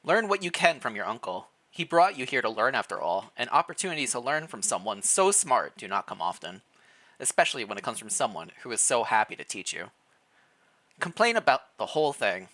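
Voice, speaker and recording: A streamer reading fan fiction aloud in a playful, expressive way, mixed with casual livestream chat. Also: mid-range voice, a little fast.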